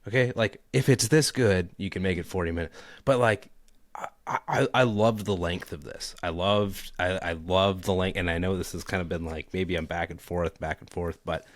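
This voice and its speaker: A man's voice: low voice, fairly even intonation, moderate pace, no room sound. A man in his thirties co-hosting a casual music podcast, talking in a rambling, enthusiastic way with many restarts. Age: 30s. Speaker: podcast co-host. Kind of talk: casual chat.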